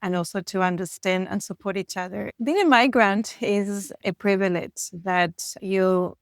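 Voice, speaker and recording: A middle-aged woman from Argentina answers reflectively in an interview. She speaks English with an Argentine accent, at a middle pitch, in a very dry recording. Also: a little slow, no room sound. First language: Spanish.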